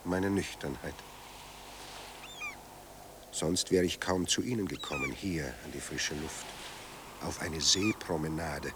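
An actor playing a sardonic, bitter dead writer, newly sober, speaking with ironic, theatrical eloquence in a radio drama. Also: male voice, extremely low pitch, slow, long pauses, noisy background, full character voice. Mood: ironic, wry.

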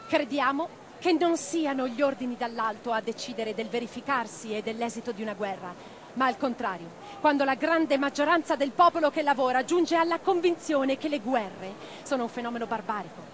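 A woman's voice: on the high side, background noise, lightly acted. An actress playing an impassioned middle-aged socialist revolutionary woman in a theatrical radio drama. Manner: declamatory in speeches, intimate in private moments.

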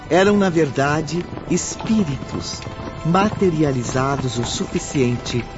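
Slow, solemn radio drama narrator. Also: male voice, medium pitch. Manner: solemn, emphatic delivery. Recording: muffled audio with music behind.

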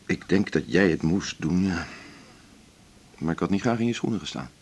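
An actor playing a reflective, principled male doctor in a naturalistic radio drama, speaking in a measured, world-weary way. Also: low voice, animated, breathy edge. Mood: resigned, sympathetic.

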